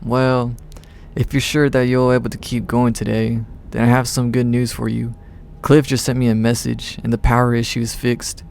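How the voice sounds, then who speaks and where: A man's voice: medium pitch, monotone, moderate pace, creaky, a little mellow, a little hiss or hum, lightly acted. A man voicing a kindly middle-aged woman scientist in an audio story, soothing and motherly. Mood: kindly, reassuring.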